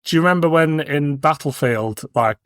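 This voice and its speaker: A man's voice: a little high, moderate pace, no room sound, studio quality. A man hosting a review podcast in a casual, chatty style. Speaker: podcast host.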